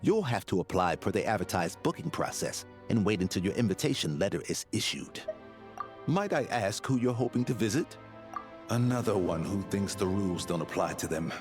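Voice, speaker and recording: A man performs a self-important guard with a deep voice and expressive delivery. He is formal and exasperated, a stickler for protocol in a video game. Music plays behind him. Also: normal speed, lightly acted. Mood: officious, exasperated. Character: officious male gate guard, stern, self-important, protocol-bound.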